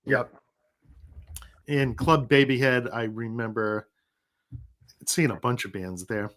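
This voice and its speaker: A man's voice: mid-range voice, slow pace, a bit bright. Chatty middle-aged Rhode Island man. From New England. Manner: chatty, reads out viewer comments. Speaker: livestream host. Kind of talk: casual live chat.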